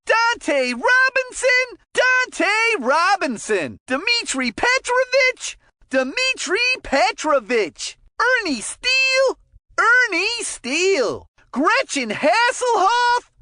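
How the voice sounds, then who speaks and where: An actor playing an excitable cartoon hockey commentator, calling out player names with punchy, drawn-out delivery. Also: extremely high pitch, dry recording, clean studio sound, exaggerated voice. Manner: drawn-out, punchy name calls. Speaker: sports game commentator.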